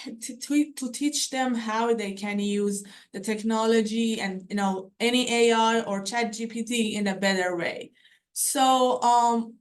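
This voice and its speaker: Presenter: young woman, Persian accent, slow and halting delivery, fairly high voice, mic at a distance. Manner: hesitant, many fillers and restarts. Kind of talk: conference presentation. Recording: voice from far off the mic.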